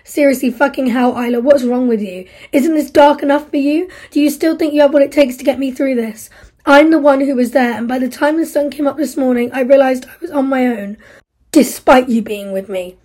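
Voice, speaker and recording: Woman reading a grieving, furious young man; husky, mellow tone. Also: on the high side, fairly even intonation, moderate pace. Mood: bitter, angry. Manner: anguished, bitter, raised voice. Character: grief-stricken angry young man, survivor.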